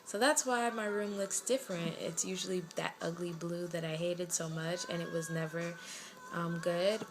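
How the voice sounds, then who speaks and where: Young woman vlogger with a faint West Indian-tinged New York accent, a slightly low voice, rambling through updates in her bedroom. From Brooklyn, New York. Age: 20s. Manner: rambling, chatty, lots of fillers and self-corrections. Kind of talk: casual chat to camera. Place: bedroom at home.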